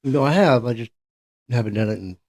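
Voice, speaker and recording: A man's voice: mid-range voice, animated, fairly quick, darkish tone. An older man co-hosting a casual podcast, talking with a dry, joking manner. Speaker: podcast co-host. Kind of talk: casual chat.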